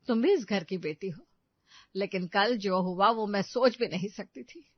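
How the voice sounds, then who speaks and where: A woman's voice: mid-range voice, no echo, close to an everyday voice. A loving but stern old grandmother, as a woman storyteller puts her on, soothing one moment and scolding the next. Her voice comes close and dry, without room sound, and a little dull on the top end. It is a storybook narration. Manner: pleading, scolding when angry.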